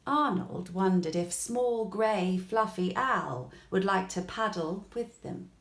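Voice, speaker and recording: A woman reading a children's bedtime story aloud in an expressive, gentle way, with deliberate pauses. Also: medium pitch. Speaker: storybook reader. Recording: echoey room.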